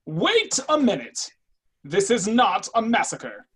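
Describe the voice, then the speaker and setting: A woman in a readers' theatre play, playing an officious, matter-of-fact young office supervisor with a slightly husky voice. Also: mid-range voice, huge pitch swings, takes it slowly, fairly bright tone, exaggerated voice. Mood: insistent.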